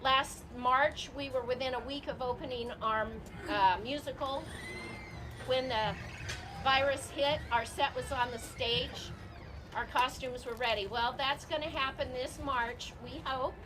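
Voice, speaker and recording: Female stage host, very high voice, informal live welcome to a theater audience, clearly noisy recording. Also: fairly even intonation, unhurried. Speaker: host introducing a theater company's show.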